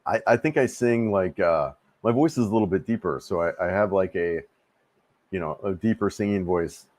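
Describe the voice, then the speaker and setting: Laid-back man in his fifties, low voice. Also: normal speed, darkish tone, no room sound. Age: 50s. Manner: rambling, restarts often, laid-back and friendly. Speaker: livestream host answering chat. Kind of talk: casual live chat. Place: home room.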